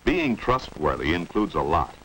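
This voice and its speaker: A man's voice: low voice, big pitch swings, normal speed, fairly bright tone. A man giving scripted 1950s educational film narration, didactic, often posing rhetorical questions. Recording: noisy old 1950s film soundtrack.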